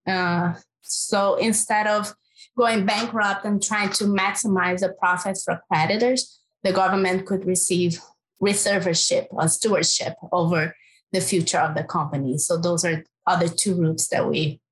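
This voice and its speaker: A woman's voice: on the low side, steady tone, measured pace, low-quality recording. A woman with a Brazilian accent, a climate policy researcher answering interview questions in an earnest way, thinking aloud with fillers and restarts. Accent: Brazilian Portuguese.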